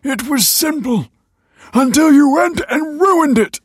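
A man voicing an agitated, paranoid old father in a dramatic audiobook story, with a strained, husky voice and desperate, indignant delivery. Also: very high voice, expressive, slow pace, no room sound, studio quality, voice pushed up, exaggerated voice. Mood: indignant, angry.

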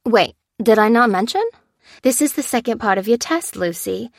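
A woman's voice: fairly high pitch, lively intonation, normal speed, vocal fry, no echo, clean studio sound, pitched above the performer's own voice. A woman voicing a ruthless female villain in an audiobook, giving commanding, mocking orders. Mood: brisk, commanding. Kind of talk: dramatised audiobook dialogue.

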